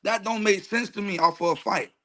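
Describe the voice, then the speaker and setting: A man's voice: high voice, fairly quick, fairly bright tone. A man speaking as a coach in a casual sports debate, emphatic and slangy, repeating phrases as he makes his case. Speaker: youth football coach, show guest. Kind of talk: casual, argumentative sports chat.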